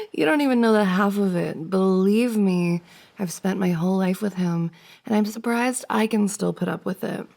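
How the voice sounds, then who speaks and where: A woman's voice: medium pitch, creaky, a little mellow. A woman playing a friend's giggly, teasing older sister in an intimate, conversational ASMR roleplay.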